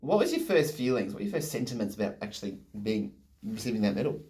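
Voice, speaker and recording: A man's voice: on the low side, very animated, fast, darkish tone. An enthusiastic man interviewing a guest over a video call, full of effusive praise.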